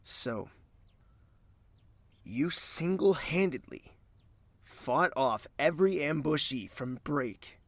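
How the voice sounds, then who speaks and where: A man playing a brooding, commanding prince in an audio drama, with a measured, terse and guarded delivery. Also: a little high, expressive, dry recording. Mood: stern, probing.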